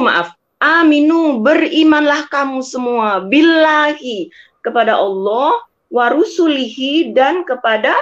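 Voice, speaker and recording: A woman's voice: sits high, dull audio. An Indonesian woman teaching scripture conversationally, quoting and translating phrase by phrase and checking for agreement. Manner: explanatory, quotes and translates phrase by phrase, seeks agreement. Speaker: guest explaining scripture.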